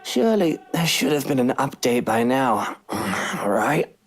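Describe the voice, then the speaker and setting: A woman's voice: rumbling low, very animated, takes it slowly, breathy edge, pitched below the performer's own voice, full character voice. A woman voicing a haughty, irritable rich man from a visual novel, imperious and sarcastic. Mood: exasperated. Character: haughty, irritable wealthy man, employer of servants.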